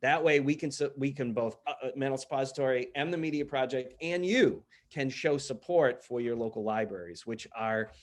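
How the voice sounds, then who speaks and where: A man's voice: medium pitch, a little fast, few pauses. A man hosting a casual promotional chat, informal and often repeating himself for emphasis. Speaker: interviewer and host. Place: virtual studio online call.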